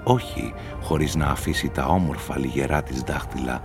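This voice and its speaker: A man reading scripted literary narration as the storyteller of a radio play. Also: rumbling low. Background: music.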